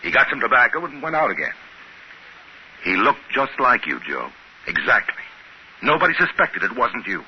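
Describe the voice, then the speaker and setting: A man's voice: mid-range voice, big pitch swings, normal speed, long pauses, lightly acted. An actor playing a shrewd, suspicious trading-post man speaking in a conspiratorial way in a 1950s radio drama. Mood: suspicious, probing. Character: shrewd, suspicious male trading-post partner hatching a plan. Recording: hissy, muffled 1950s radio recording.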